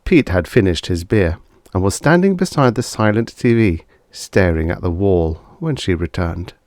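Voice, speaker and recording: A man's voice: deep voice, expressive, moderate pace, darkish tone, no room sound, clean studio sound. A man narrating scripted fiction as an audiobook reader, telling the story expressively.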